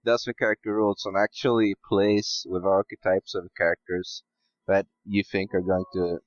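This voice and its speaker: A man's voice: low voice, little pitch movement, moderate pace. A man giving blunt, casual opinions as a podcast guest, over a dull voice-call sound.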